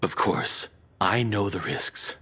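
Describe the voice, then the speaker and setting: A man playing a deranged, lovesick cannibal captor in an intimate audio roleplay, speaking in a soft, hesitant, confessional way with quiet menace. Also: lowish voice, slow pace, breathy edge, exaggerated voice. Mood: obsessive, menacing.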